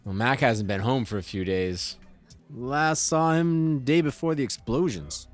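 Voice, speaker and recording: A man's voice: medium pitch, measured pace, faint music behind, natural voice. Casual, cagey gang leader played by a man. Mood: hesitant. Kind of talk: scripted audio drama acting.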